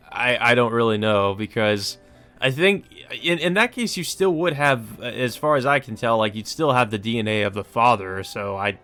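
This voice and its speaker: A man's voice: medium pitch, fairly bright tone. A man hosting a Let's Play, reading a visual novel aloud with casual commentary.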